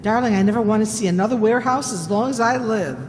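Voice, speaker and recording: An actress playing a detective's quick-witted, playful female sidekick in an old-time radio drama, expressive and pert. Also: on the high side, big-room sound, noisy background, lightly acted. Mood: pert, wry.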